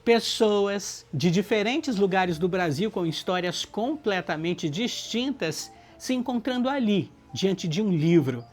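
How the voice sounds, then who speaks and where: A Brazilian narrator speaks in a medium-pitched voice over background music. The delivery is earnest and reflective, clearly scripted. Thoughts unfold as rhetorical lists of short phrases. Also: expressive, measured pace, few pauses. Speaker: book project organizer and narrator.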